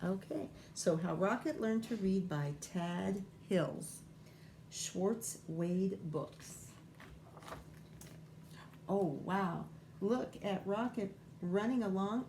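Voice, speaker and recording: A woman's voice: mid-range voice, warm tone, slight background noise, poor audio. A woman schoolteacher reading a children's picture book aloud, informally thinking aloud and wondering as she goes.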